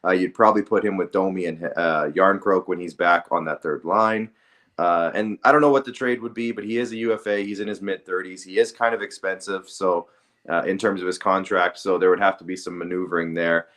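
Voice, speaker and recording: Man, low voice, podcast co-host, casual sports discussion, conversational. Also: steady tone, a little fast, a bit bright.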